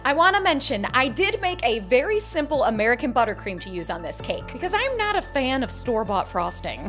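A woman's voice: on the high side, a bit bright. A woman in her thirties narrating a baking video in a chatty, self-deprecating way, laughing at herself now and then, recorded on a studio microphone. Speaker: cake artist host. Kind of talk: casual voice-over tutorial narration. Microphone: studio microphone with headphones.

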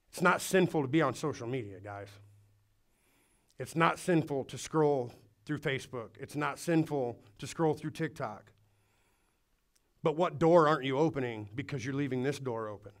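A man preaching a sermon to a congregation in a church, speaking earnestly and urging his listeners, with some restarts. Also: on the high side. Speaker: pastor. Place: church hall before a congregation.

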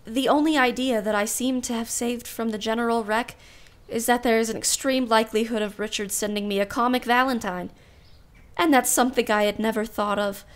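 A woman's voice: on the high side, fairly even intonation, fairly quick, lightly acted. A woman playing a proud, wry, refined aunt in a period radio play, with formal, precise diction. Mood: pensive, unsettled.

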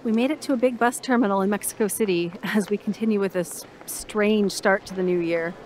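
A woman's voice: medium pitch, brisk, slight background noise, close to an everyday voice, poor audio. An actress playing a curious, bewildered woman traveller in a naturalistic audio drama. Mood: concerned. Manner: expressive, questioning.

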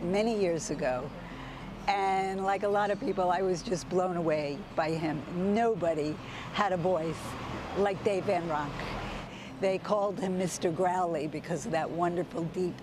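An older woman reminiscing in a documentary interview recorded outdoors. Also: on the low side. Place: outdoors on a city street. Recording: noisy street with traffic.

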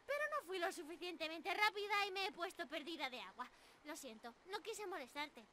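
A woman voicing a young squirrel monster girl in an anime-style ASMR roleplay, excitable, ditzy and stammering. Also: very high-pitched, fairly quick, slight background noise, exaggerated voice. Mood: sheepish, then timid, pleading. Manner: bubbly, excitable, stammering, giggly.

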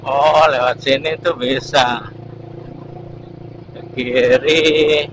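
A man's voice: mid-range voice, fairly even intonation, extremely slow. A man narrating casually while riding a motorcycle on rural roads, talking to his viewers through a helmet-mounted camera. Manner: chatty, keeps saying "guys" to viewers. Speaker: motovlogger. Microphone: helmet-mounted action camera. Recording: road and engine noise.

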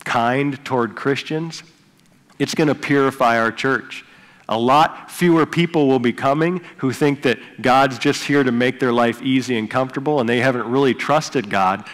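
Preaching man in a reverberant church hall. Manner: earnest preaching, swelling louder on key points then dropping low. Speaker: pastor. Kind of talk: sermon. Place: church stage with curtains.

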